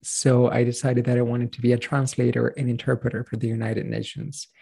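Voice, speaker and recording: A man's voice: medium pitch, flat delivery, a little fast, vocal fry, dark tone, slightly rough. A Chilean man in his thirties, a native Spanish speaker, telling his story as a pronunciation coach in a casual interview, earnest and rambling with restarts.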